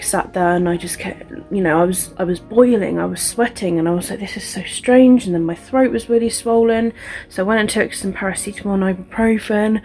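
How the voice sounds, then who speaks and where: A woman's voice: medium pitch, darkish tone. A young woman chatting casually to camera in a vlog, congested and stuffy-nosed, rambling with fillers and restarts. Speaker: vlogger.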